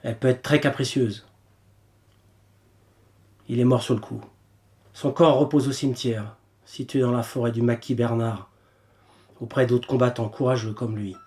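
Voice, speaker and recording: A man playing a grave, determined wartime Resistance leader in a French audio drama, speaking in a measured, earnest way. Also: mid-range voice, steady tone, warm tone, close to an everyday voice. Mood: sombre, gentle.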